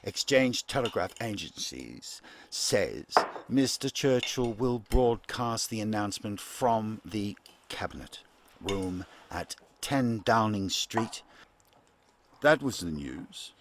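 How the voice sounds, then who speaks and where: A man playing a solemn 1940s wartime radio newsreader, reading a formal bulletin in a measured, deliberate way. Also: lowish voice, animated. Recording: clearly noisy recording with clicks.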